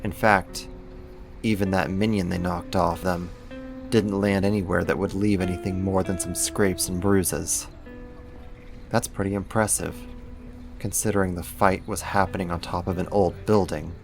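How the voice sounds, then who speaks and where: A man's voice: low voice, flat delivery, normal speed, gravelly, soft background music. A man acting a casual, lightly teasing roommate who is secretly a supervillain, in a conversational ASMR roleplay. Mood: earnest, admiring. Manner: casual, laid-back, lightly teasing, slangy.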